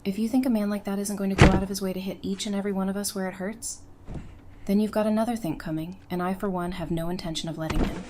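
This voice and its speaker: A woman's voice: medium pitch, steady tone, fast talker, noisy background, natural voice. A woman playing a strong-willed, bitter survivor with a firm, sardonic manner in an audio drama. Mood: bitter resolve.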